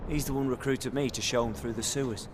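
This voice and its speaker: A man's voice: mid-range voice, steady tone, fast talker, heavy background noise, lightly acted. A British man voicing a chatty, cheeky petty thief in a video game, talkative and wry, with colloquial turns of phrase.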